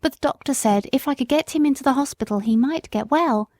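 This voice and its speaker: A poor young mother from the country, as a woman reader puts her on, pleading in a high, soft storybook voice. She sounds anxious and humble, and the voice sits well above the reader's own. The recording is dry and close. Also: brisk, no room sound, natural voice.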